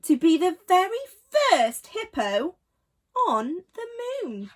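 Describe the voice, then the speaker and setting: A woman storyteller reads a bedtime tale for children. Her voice is high and highly animated, with an unhurried pace. She leans on key words and pauses for drama.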